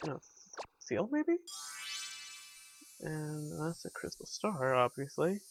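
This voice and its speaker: A man's voice: fairly high pitch, big pitch swings, takes it slowly, creaky, over music. A man giving casual, wry game commentary and reading game text aloud in an offhand way. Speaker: video game player.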